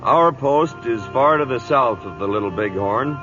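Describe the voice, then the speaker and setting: Actor as a gruff, dry old-time radio cavalry captain. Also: male voice, medium pitch, animated, measured pace, natural voice. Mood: grave, reflective. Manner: clipped, authoritative, wry. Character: gruff, dry-humoured veteran cavalry captain near retirement. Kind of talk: old-time radio drama acting with first-person narration. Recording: dull vintage radio broadcast, music behind.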